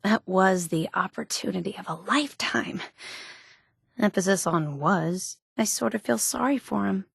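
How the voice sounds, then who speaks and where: A woman playing an eager, obsessive young superfan in a video game, with a gushing, earnest, excitable manner. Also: medium pitch, moderate pace, vocal fry, slightly rough, no room sound, clean studio sound. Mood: wistful, conflicted. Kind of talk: video game character dialogue.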